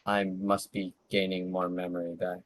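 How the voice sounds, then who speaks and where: A man's voice: low voice, monotone, moderate pace, slight background noise. A man playing a tabletop game over voice chat, asking hesitant, trailing questions. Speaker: player.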